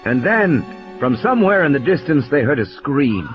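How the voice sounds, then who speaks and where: A male audiobook narrator delivers scripted, dramatic prose. His pitch swings widely from phrase to phrase, with faint music behind him. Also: very animated, moderate pace, highs cut off.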